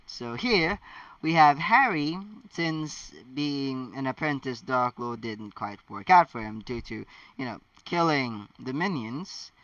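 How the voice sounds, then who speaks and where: A man's voice: fairly high pitch, bright, forceful tone, no room sound. A man chatting casually and reading fan fiction aloud in a rambling, amused way. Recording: distant mic, dull lo-fi sound.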